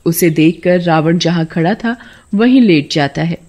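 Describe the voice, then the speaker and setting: A woman's voice: lowish voice, measured pace, darkish tone. Woman narrating a children's story.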